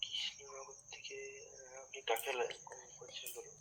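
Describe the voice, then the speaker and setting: A man's voice: medium pitch, little pitch movement, moderate pace, sharp tone, husky, whispered. A man on a phone call, asking questions in a puzzled way. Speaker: phone call recipient, kirtan singer.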